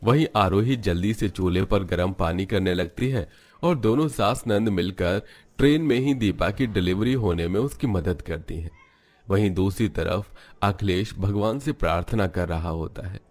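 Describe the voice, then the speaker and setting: Narrator for animated family drama: male, deep register, scripted storytelling delivery. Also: normal speed.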